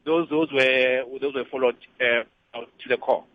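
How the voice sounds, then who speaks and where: A man with a South African accent calling a radio show, giving his opinion in a halting way and repeating words as he goes. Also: medium pitch, steady tone, a little hiss or hum. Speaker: phone-in caller. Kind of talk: conversational opinion. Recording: dull band-limited call-in line.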